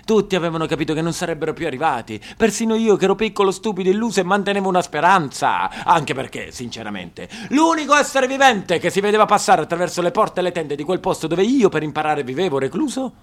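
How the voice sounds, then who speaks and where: A man's voice: sits high, very animated, fast talker, hardly any pauses. A male stage actor performing a theatrical monologue, swinging between scolding the audience and telling a story.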